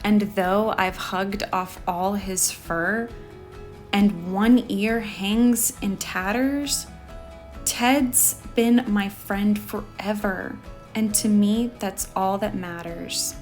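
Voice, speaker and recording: A kind, loyal little girl from a storybook, as a woman reader puts her on. She talks slowly and earnestly, with gentle firmness, while faint music plays behind. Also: mid-range voice, steady tone. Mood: firm. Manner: earnest, firm.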